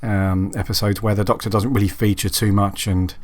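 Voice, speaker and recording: A man hosting a solo podcast who sounds raspy and husky from a cold. He chats casually and rambles, with many ums and restarts. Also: fairly low pitch, little pitch movement, brisk. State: hoarse from a cold. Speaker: podcast host.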